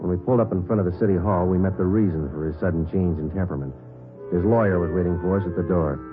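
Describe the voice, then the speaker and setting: A male actor plays a businesslike American police detective in an old radio drama. His voice is low and deadpan, rattling along briskly in clipped procedural sentences. The sound is narrow vintage radio with music. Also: natural voice. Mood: matter-of-fact. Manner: clipped, deadpan, matter-of-fact. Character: male police sergeant, terse, unflappable, businesslike narrator. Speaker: actor playing lead police detective and narrator. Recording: band-limited old radio recording with music.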